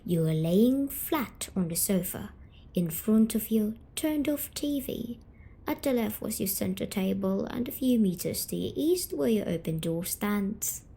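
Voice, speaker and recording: A woman voicing a gentle, teasing female sleep paralysis demon, speaking softly and soothingly in an ASMR story-telling roleplay. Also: medium pitch, expressive, vocal fry. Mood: teasing, affectionate. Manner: slow, soothing, coaxing, softly spoken.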